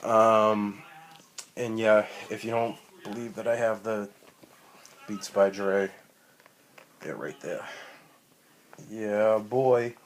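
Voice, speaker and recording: Rambling profane wrestler, low flat voice. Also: male voice, slow, pauses often, slight background noise, low-quality recording. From Boston, Massachusetts. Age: 30s. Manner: rambling, profane, full of ums and restarts. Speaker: amateur wrestler. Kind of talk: rambling self-recorded vlog. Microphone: tablet built-in mic.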